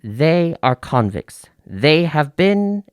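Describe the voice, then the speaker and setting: A man's voice: on the high side, very animated, slow pace, clear, resonant tone, dry recording, studio quality. An actor playing a male court prosecutor in a radio drama, speaking in a formal, official manner.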